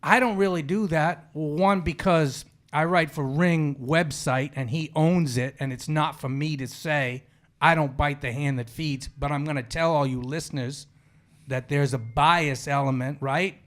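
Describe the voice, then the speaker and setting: High-pitched podcast host, a man. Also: measured pace, a bit bright. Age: 40s. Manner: opinionated, slangy, conversational storytelling. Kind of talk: casual sports podcast chat.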